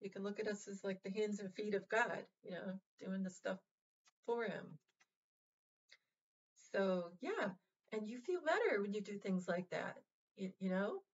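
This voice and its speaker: A woman of middle pitch talks straight to her viewers, gentle and reassuring. She pauses often and restarts her phrases. The recording sounds dull and lo-fi. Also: animated, breathy edge. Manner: halting, full of fillers and restarts, gentle and reassuring. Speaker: vlog host. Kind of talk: casual heartfelt address to viewers.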